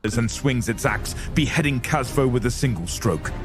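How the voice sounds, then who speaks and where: A man's voice: mid-range voice, animated, normal speed. A man narrating a scripted audio story in a dramatic style. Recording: clear music bed under voice. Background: dramatic music.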